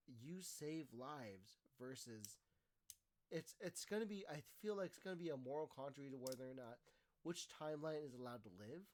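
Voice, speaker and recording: A man co-hosting a casual chat, explaining things haltingly with many fillers and restarts. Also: a little high.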